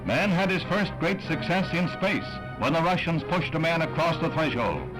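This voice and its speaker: A man's voice: on the high side, animated, normal speed, rough recording. An American man reading scripted 1960s newsreel narration in a dramatic, solemn, declamatory manner, on a vintage film soundtrack. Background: orchestral newsreel music.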